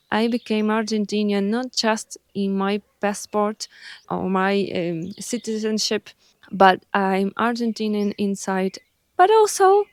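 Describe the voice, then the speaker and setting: A woman in her thirties from Ukraine, introducing herself on her vlog in English, unhurried and thoughtful with frequent hesitations. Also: mid-range voice, dry recording, clean studio sound. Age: 30s. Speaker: vlogger.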